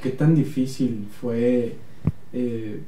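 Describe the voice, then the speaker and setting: A man's voice: mid-range voice, drawn-out words, warm tone, recorded from a distance. A man with a Mexican accent interviewing a guest, asking long, rambling questions with many restarts. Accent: Mexican Spanish. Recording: echoey room, voice set back from the mic.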